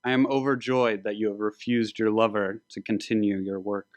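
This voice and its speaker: A menacing warrior king of biblical times, performed by a male reader, grandiose and smoothly manipulative. He speaks formally in a low voice, in a dry storybook recording. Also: little pitch movement, moderate pace, dry recording, dull audio, close to an everyday voice. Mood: smoothly sinister.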